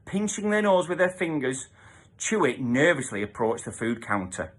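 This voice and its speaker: A man's voice: mid-range voice, very animated, moderate pace. A man reading a children's story aloud as a theatrical narrator, with emphatic sound-effect words. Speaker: storybook reader.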